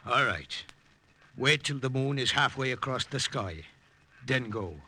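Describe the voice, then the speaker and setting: A man's voice: medium pitch, lively intonation, measured pace, sharp tone, exaggerated voice. An actor playing a kindly, fatherly missionary priest in a 1950s radio drama, with a lilting, folksy manner. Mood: earnest.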